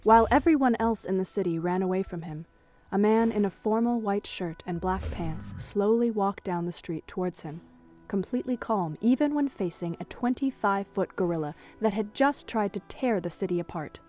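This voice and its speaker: A woman's voice: mid-range voice, normal speed, a little mellow. A woman reading scripted third-person narration for an audio drama as its storyteller. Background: music.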